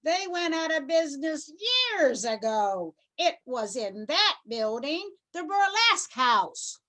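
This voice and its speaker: A woman's voice: sits high, sing-song, slow pace, fairly bright tone, exaggerated voice. A woman playing a brusque, bossy street cop in a radio play, curt and commanding. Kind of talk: community theatre radio play acting. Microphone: video call.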